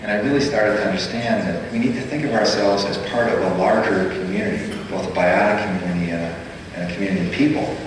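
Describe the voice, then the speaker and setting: A man's voice: fairly low pitch, fast, a crowd in the background. A man speaking off the cuff in a documentary interview. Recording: noisy echoey hall playback from far off.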